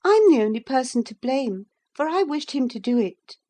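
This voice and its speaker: A woman's voice: very animated, moderate pace, dark tone. A woman reading aloud, voicing a reckless young woman who boldly taunts the master of the house. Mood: defiant. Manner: bold, high-pitched, taunting.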